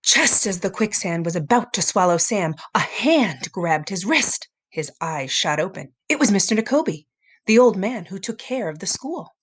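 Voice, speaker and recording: A woman narrating a children's story aloud in an expressive, dramatic storytelling manner. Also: medium pitch, normal speed, breathy edge. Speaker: storybook reader.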